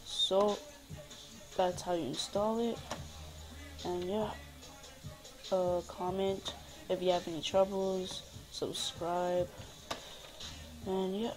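A gaming tutorial host with a mid-pitched voice takes it slowly. The delivery is informal and rambling, like casual step-by-step help. Music plays faintly behind the voice. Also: little pitch movement. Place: indoors at a computer.

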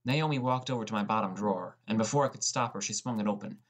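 A man's voice: fairly low pitch, fast, close to an everyday voice. A man playing a sullen, defensive teenage boy who narrates his own story in a scripted audiobook. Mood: narrating.

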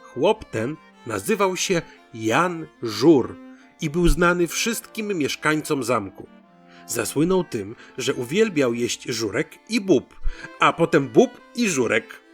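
Animated male storyteller. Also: on the high side, slow, faint music behind. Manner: dramatic, theatrical storytelling. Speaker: storyteller, host. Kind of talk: expressive scripted folk tale narration.

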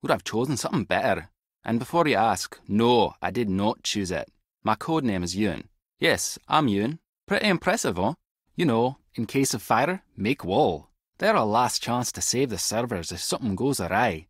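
An actor playing a wry, clever, tech-savvy spy agent with a Scottish accent and a husky voice in an adventure game. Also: male voice, lowish voice, very animated, normal speed, no room sound, clean studio sound. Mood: playful. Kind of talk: scripted adventure game dialogue.

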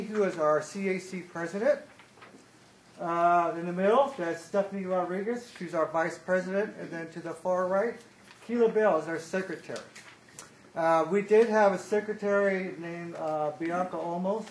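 A man giving a loud, upbeat committee report at a board meeting, with many fillers and pauses. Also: sits high. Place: large conference room. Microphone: table microphone. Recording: echoey room, some background noise.

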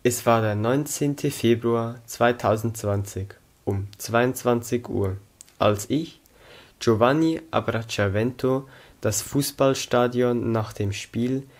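A sixteen-year-old young man from Switzerland, reading a scripted story aloud in a careful, measured manner. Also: mid-range voice. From Zug, Switzerland. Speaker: student reading own story. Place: small indoor room against a plain wall.

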